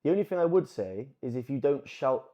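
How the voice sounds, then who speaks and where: A man's voice: medium pitch, expressive, a little fast, muffled recording. A man with a Brazilian accent co-hosting a podcast in casual chat, rambling and often restarting his sentences. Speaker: podcast co-host.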